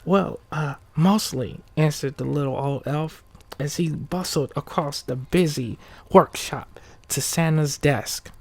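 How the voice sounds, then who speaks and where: A man hosting an ASMR bedtime story, speaking softly and often whispering, with a relaxed, informal manner. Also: on the high side, unhurried, creaky, no room sound. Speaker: ASMR video host and storyteller. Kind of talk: ASMR bedtime story reading, casual asides.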